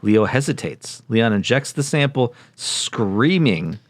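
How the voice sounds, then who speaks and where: A man's voice: medium pitch, animated, slow pace, dry recording. A man co-hosting a comedy podcast, casually reading a story recap with wry, mock-serious reactions. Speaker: podcast co-host. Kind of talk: casual comedic recap, part read aloud.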